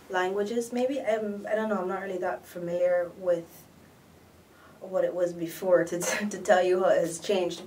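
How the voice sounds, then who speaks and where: A young woman from Barbados, a student, answering interview questions in a relaxed, thoughtful way. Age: young adult. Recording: distant mic with faint rumble.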